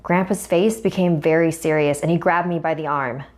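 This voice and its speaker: A woman's voice: sits low, steady tone, normal speed, darkish tone. A woman narrating a scripted first-person horror story as a storyteller. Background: music.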